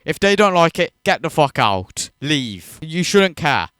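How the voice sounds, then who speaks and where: A man's voice: a little high, big pitch swings, a little slow, a bit bright, clear, resonant tone, no echo, studio quality. A man hosting a reaction video, ranting in disbelief and swearing freely as he mocks what he watches. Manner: incredulous, profane, mocking.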